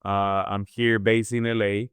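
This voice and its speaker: Even, low-voiced man from Chile explaining. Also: unhurried, clean tone, no echo. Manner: explanatory, often seeks agreement with "right?". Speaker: podcast guest, financial planner. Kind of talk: conversational explanation in an interview.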